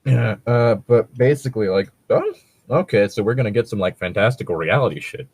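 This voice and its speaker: Low-voiced man chatting casually, closely recorded. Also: animated, a little fast, a little mellow, breathy edge, no echo. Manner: hesitant, filler-heavy, casually sweary. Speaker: podcast co-host. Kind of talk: casual chat commentary.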